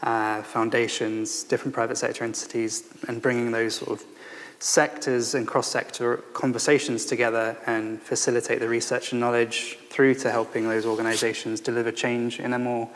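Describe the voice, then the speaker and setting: A man explains his consultancy work in a careful, jargon-heavy way, pausing on "uh" and "um". His pitch stays flat and even, and a long hall reverb hangs behind him. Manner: measured, jargon-heavy, hesitant. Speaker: consultancy founder on a panel. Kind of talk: panel introduction.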